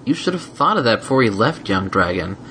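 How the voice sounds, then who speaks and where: A man's voice: lowish voice, sing-song, brisk, sharp tone, slight background noise, full character voice. A man voicing a prim, coaxing female unicorn guardian in a fan fiction story, sweet but firmly insistent. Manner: coaxing, silken, primly insistent. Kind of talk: fan fiction audiobook character voice.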